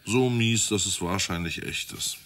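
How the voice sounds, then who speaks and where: An actor playing a methodical, matter-of-fact police detective with a wry touch in a radio crime drama. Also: male voice, low voice, a little slow, fairly bright tone. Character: methodical, dryly reasoning male police detective. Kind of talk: naturalistic radio drama dialogue.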